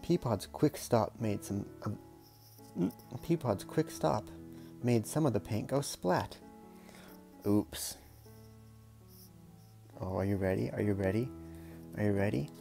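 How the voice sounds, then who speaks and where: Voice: mid-range voice, slow pace, vocal fry, pauses often, mellow, soft background music. A storyteller reading a picture book aloud in a casual, playful way, with chatty murmured asides.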